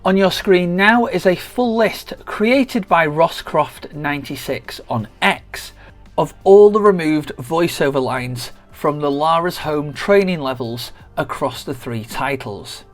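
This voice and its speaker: Measured gaming video narrator over music. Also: mid-range voice, animated. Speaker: video creator and host of a gaming channel. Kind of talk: scripted video essay narration. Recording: music behind the voice. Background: background music.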